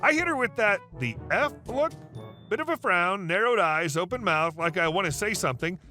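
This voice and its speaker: A man narrating online stories in a wry, animated scripted way. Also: sits high, brisk, soft background music. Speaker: story narrator.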